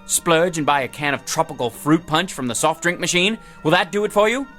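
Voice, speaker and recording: A man's voice: a little high, fairly quick, pushed and bright, soft background music. An actor playing a man's conjured double, a sardonic, chummy alter ego who goads and wisecracks in audio drama style. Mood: needling. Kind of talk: theatrical audio drama acting.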